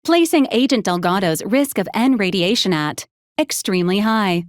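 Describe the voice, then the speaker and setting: A woman voicing a polite, literal-minded medical robot in a video game, speaking in formal, clinical, deadpan announcements. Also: mid-range voice, no room sound, studio quality, natural voice. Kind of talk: scripted video game character lines.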